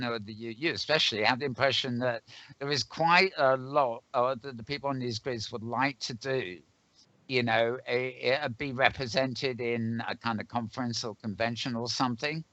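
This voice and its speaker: A man hosting a casual online panel discussion, rambling and halting as he thinks aloud. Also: medium pitch, sharp tone, no echo. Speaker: host. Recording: dull, lo-fi online voice call.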